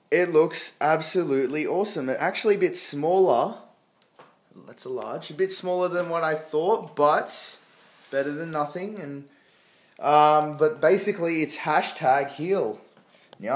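A young man vlogging casually from a small home kitchen, talking into a phone held at arm's length and rambling with lots of fillers and restarts. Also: on the high side. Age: young adult. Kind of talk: casual unboxing vlog. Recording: echoey room.

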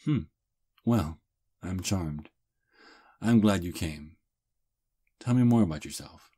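Ancient vampire prince, played by a man: courtly seducer, breathy husky voice, slow intimate ASMR delivery. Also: low voice, expressive, warm tone, heavily acted. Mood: courteous, charming. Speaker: ASMR roleplay performer. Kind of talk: intimate ASMR roleplay acting.

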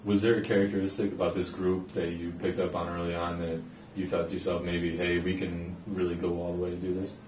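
Guy reporter asking questions, deep flat voice, roomy echo. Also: fairly quick, distant mic. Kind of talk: press conference questioning. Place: indoor press room, off camera. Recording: roomy, off-mic, clear background noise.

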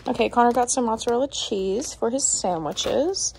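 A woman's voice: on the high side, normal speed, gravelly, darkish tone, low-quality recording. A woman in her twenties with an American accent, chatting casually to her vlog camera at home. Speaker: vlogger. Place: indoors at home, living room and kitchen. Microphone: phone or camera at arm's length. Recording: noticeable room noise from handheld camera.

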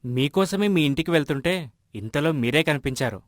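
Man acting a polite, higher-pitched villager. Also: expressive, moderate pace, no room sound, clean studio sound, natural voice. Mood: earnest. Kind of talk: animated moral story acting.

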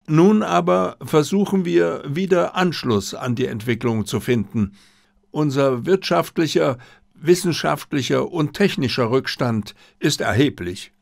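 A man's voice: fairly high pitch, gravelly, no echo, clean studio sound, voice pushed up. A man reading a courteous, reserved middle-aged Japanese diplomat with formal, polite wording in an audiobook.

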